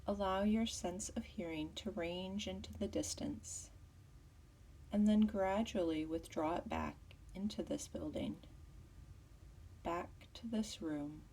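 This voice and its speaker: A woman teaching yoga nidra as a guided meditation, with a soft, breathy voice and soothing, measured instruction. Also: on the low side, distant mic, a little hiss or hum.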